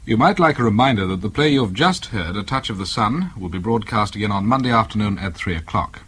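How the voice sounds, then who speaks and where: A man's voice: lowish voice, animated, fast talker, a bit bright, breathy edge. A man with a received English accent making formal, scripted announcements as a radio continuity announcer. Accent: English, received pronunciation.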